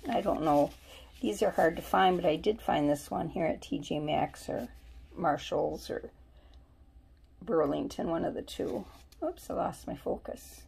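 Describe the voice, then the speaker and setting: A woman's voice: on the low side, a little slow, creaky, darkish tone, a little hiss or hum. A woman at home showing her soap haul on camera, chatting and musing aloud as she reads labels. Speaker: vlogger. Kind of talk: casual shopping haul show-and-tell. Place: at home, indoors.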